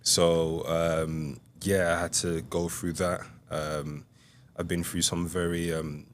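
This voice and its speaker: A young man from Congo, a podcast guest, telling his life story in a soft, hesitant way with many pauses. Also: deep voice, little pitch movement, a little hiss or hum. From Republic of Congo. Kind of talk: reflective interview storytelling.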